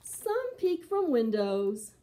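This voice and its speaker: Woman, middle-aged, storytime reader, higher-pitched, unhurried, sing-song delivery for little kids. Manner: expressive, slow, sing-song delivery for young children. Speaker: library storytime host for preschoolers. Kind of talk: children's storytime, singing and reading a picture book aloud.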